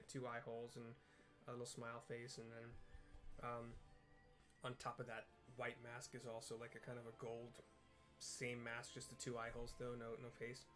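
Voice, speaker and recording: A man's voice: mid-range voice, a bit bright. A man hosting a casual video game playthrough, describing scenes in a rambling, hesitant way as he searches for words. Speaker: host narrating a game playthrough with audio descriptions. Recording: game music behind the voice.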